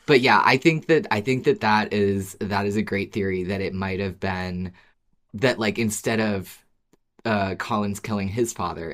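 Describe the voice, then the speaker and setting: A podcast co-host with an agreeable way of talking, in casual fan discussion and reading out listener email. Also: low voice.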